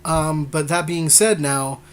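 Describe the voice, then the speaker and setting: A man's voice: a little high, fairly even intonation, takes it slowly, smooth. A man co-hosting a casual card game podcast, talking in an agreeable, conversational way. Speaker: podcast co-host.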